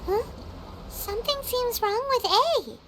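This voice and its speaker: A woman voicing a small, chatty fairy companion in a video game, with a squeaky, childlike voice and chirpy, excitable delivery. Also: takes it slowly, slight background noise, heavily acted. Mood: worried. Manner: chirpy, exclamatory.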